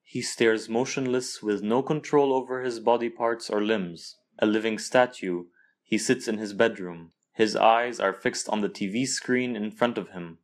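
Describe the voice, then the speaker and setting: Low-voiced man narrating a literary story. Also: a little slow. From Lebanon. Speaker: author narrating own story.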